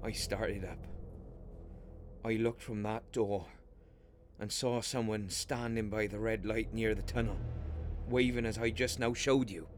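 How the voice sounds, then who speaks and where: A troubled railway signalman, acted by a man for a radio ghost story. His voice sits on the low side and he speaks very slowly, grave and halting, as if weighed down by dread. There is faint noise behind the recording. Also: steady tone, natural voice. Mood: tense recounting. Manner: grave, halting, dramatic storytelling with hushed drops and anguished outbursts. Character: haunted, troubled railway signalman telling of a spectre. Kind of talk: radio play acting of a Victorian ghost story.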